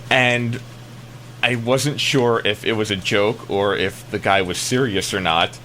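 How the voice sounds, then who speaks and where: Bright-voiced American man, rambling casually. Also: lowish voice, steady tone, measured pace, slight background noise. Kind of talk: casual banter.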